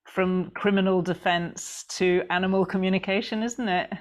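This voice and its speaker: A woman hosting a podcast, asking a guest curious, reflective questions in a relaxed conversation. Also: mid-range voice, moderate pace. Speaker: podcast host.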